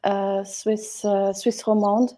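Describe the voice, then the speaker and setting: A woman co-hosting a casual live chat about raising multilingual children, speaking in a measured, thoughtful way. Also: medium pitch, flat delivery, dark tone.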